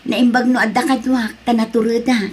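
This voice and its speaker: A woman's voice: fairly high pitch, level tone, moderate pace, a little mellow, slightly rough. A woman playing a friendly, grateful overseas worker in an audio drama. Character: friendly, grateful woman working abroad, missing her child.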